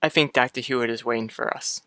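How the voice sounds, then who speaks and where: Guy playing courteous doctor in old radio soap, precise and reassuring, bright crisp-edged voice, medium pitch. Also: steady tone, fast talker, no echo, studio quality, close to an everyday voice. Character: reassuring, courteous doctor, trusted family friend. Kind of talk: mid-century radio soap acting.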